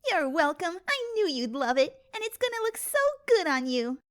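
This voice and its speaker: A bubbly magical pony mother , acted by a woman, pitched high with big melodic swings. She is affectionate and excitable, gushing her way through a dramatic storybook reading. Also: moderate pace. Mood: excited. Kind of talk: fan fiction dramatic reading.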